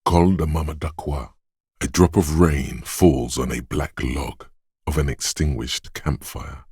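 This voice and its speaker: An inner voice of perception for a video game, extremely deep and heavily husky. The delivery is slow and hushed, like careful atmospheric narration. It is watchful and poetic, savoring every small sound, in a dry, close recording. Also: male voice, fairly even intonation, no room sound, exaggerated voice. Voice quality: husky, gravelly, breathy.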